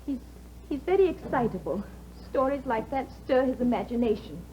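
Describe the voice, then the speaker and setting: High, anxious young wife. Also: female voice, expressive, normal speed, mellow. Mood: tense. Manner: tense, pleading, often near tears. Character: anxious young wife, fearful for her husband. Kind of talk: 1950s radio drama acting. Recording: dull 1950s radio broadcast with faint hiss.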